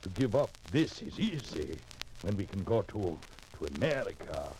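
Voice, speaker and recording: An actor playing a poor immigrant man from Europe with broken English, halting and stumbling as he speaks, in 1940s radio style. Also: on the high side, huge pitch swings, darkish tone, slight background noise, full character voice. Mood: hopeful.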